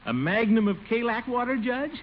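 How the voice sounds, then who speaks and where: An actor playing a pompous, flirtatious, middle-aged commissioner in a 1950s radio comedy, theatrical and given to chuckling. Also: male voice, very high voice, very animated, moderate pace, slight background noise. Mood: jovial. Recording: muffled old radio recording with music.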